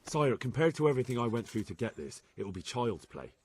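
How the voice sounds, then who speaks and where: An actor playing an earnest young medieval spy in a game, plain-spoken and respectful. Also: male voice, mid-range voice, very animated, quick pace, darkish tone, breathy edge. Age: young adult. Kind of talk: video game cutscene acting.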